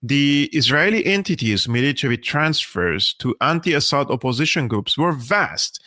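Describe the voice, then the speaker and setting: A man's voice: medium pitch, big pitch swings, normal speed, pushed and bright, no room sound, studio quality. A man from Syria, hosting a political live stream, arguing emphatically and reading an article aloud. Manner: emphatic and argumentative, with restarts. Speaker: host of a political analysis channel.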